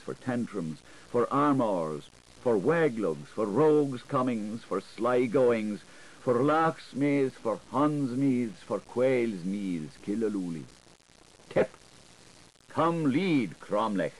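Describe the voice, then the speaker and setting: A man narrating a literary audiobook in a theatrical, deliberate, incantatory manner, playful with the wordplay, on a 1980s recording. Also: mid-range voice, a little mellow, poor audio.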